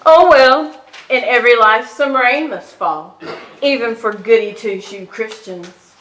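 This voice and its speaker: Female actor for bitter pagan queen mother, stage drama: imperious, cutting bright voice, scornful delivery, roomy acoustic. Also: fairly high pitch, measured pace. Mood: mocking. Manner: haughty, mocking, declamatory. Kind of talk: theatrical stage reading. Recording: echoey stage hall.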